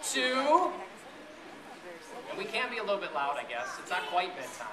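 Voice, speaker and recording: A man reading a picture book aloud to a group of children in a playful, chatty way, pausing to ask them questions. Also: high voice, very animated, a loud crowd. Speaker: storybook reader to children. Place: echoey room with an audience of families. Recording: roomy, distant event recording with audience noise.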